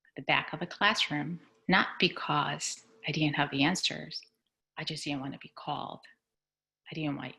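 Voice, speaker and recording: A woman from Chile, a school superintendent, giving an earnest, measured personal talk on a video call. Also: low voice, vocal fry, bright, forceful tone. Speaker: executive superintendent. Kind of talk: personal speech at a community board meeting.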